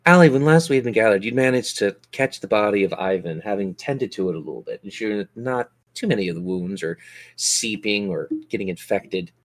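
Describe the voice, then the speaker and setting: A man's voice: lowish voice, expressive, a little fast. A man narrating a tabletop role-playing game as game master, with theatrical, descriptive storytelling.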